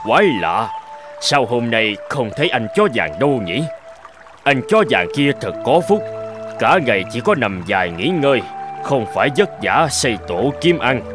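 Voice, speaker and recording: A man's voice: mid-range voice, very animated, normal speed, over music, lightly acted. A man voicing an envious, weary animal in a children's cartoon, grumbling wistfully.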